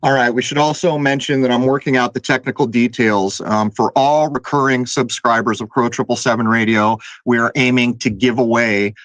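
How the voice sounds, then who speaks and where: A man's voice: mid-range voice, steady tone, moderate pace, few pauses, a bit bright, no echo, poor audio. A man hosting a talk show, chatting casually and drifting between topics with fillers. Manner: conversational, digressive with fillers. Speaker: podcast host.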